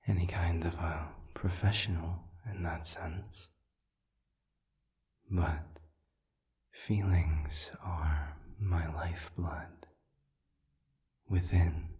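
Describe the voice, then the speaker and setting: A man playing a calm, considerate demon in an intimate audio roleplay, speaking in a breathy near-whisper with measured, formal, gentle phrasing. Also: low voice. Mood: gentle, sincere. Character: calm, considerate male sadism demon offering comfort.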